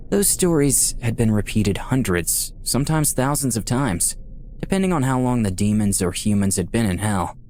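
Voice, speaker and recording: Guy acting as a wry dead young artist dude narrating a game, lowish voice, self-deprecating and chatty, music behind. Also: darkish tone, close to an everyday voice. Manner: wry, casual storytelling. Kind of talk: scripted visual novel narration, conversational.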